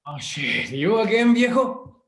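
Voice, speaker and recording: A man plays a young street thug in a drama read-through, high-pitched and echoing in a roomy space. He is cocky and belligerent, throwing out taunts in street slang. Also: big pitch swings, unhurried, heavily acted. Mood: irritated. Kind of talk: screenplay table read acting. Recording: roomy, echoing home recording.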